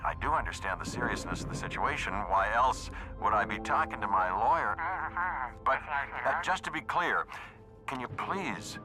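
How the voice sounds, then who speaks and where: A man's voice: lowish voice, animated, moderate pace, lightly acted, poor audio. A man playing a shifty, scheming noir character in a game cutscene, conspiratorial and evasive. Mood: nervous, evasive. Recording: music behind.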